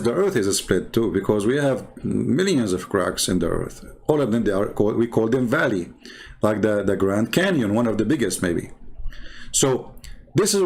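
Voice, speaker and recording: A man speaking English as a second language with a non-native accent, giving improvised commentary as a host, haltingly and repeating key words for emphasis. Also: medium pitch, a little mellow. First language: not English. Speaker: host and religious debater. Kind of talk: improvised commentary over visuals.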